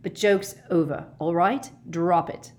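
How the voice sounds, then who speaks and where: A woman's voice: fairly low pitch, lively intonation, a little slow, darkish tone. A woman voicing a sensible, blunt teenage boy in a storybook reading, incredulous and impatient. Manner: incredulous, blunt, exasperated questioning.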